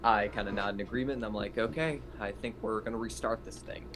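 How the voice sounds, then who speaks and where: A man with a lowish voice talks casually as a player in a tabletop roleplaying game. He describes his character's actions in plain conversational speech. There is clear ambient noise behind him. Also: fast.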